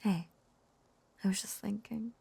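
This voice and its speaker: A woman playing a lovesick, possessive, lonely witch in an intimate audio roleplay, coaxing and halting in a breathy whisper. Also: medium pitch, fairly even intonation, warm tone, no echo, exaggerated voice. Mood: tender, coaxing.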